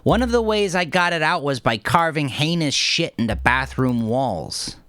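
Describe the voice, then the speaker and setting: A man's voice: fairly high pitch, lively intonation, normal speed, a bit bright, dry recording, studio quality, voice pushed up. A man voicing a cocky, sadistic male gangster in an audiobook, wisecracking and profane with theatrical swagger. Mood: mocking, cocky. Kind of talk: audiobook character dialogue.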